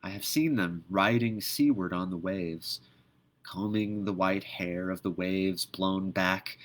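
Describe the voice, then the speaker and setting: A man reading poetry aloud in an expressive, theatrical manner, with measured phrasing and dramatic pauses. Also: low-pitched. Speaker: poetry reader.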